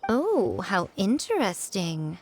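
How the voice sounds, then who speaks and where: A woman's voice: medium pitch, wildly swooping pitch, takes it slowly, a little hiss or hum. A woman playing an elegant, playful shrine priestess in a video game, poised and teasing. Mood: amused, poised. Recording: game music behind voice.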